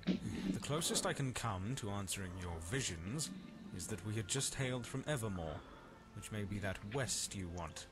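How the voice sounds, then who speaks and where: A stern fantasy traveler, voiced by an actor, talks in a fairly low voice with music clearly behind him. He is formal and wary, a skeptic weighing each word in a game dialogue. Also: expressive, moderate pace. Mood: skeptical, worried.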